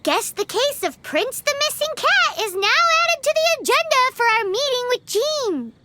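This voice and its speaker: A woman voicing a small, childlike fairy companion in a video game, squeaky and cheeky, exclaiming and talking about herself in the third person. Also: big pitch swings, normal speed, heavy background noise. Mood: exasperated.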